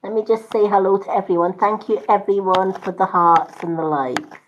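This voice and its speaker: A woman chatting casually to live-stream viewers as a psychic card reader, with a calm, soothing delivery. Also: medium pitch, normal speed, a little hiss or hum. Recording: roomy, slightly dull livestream audio.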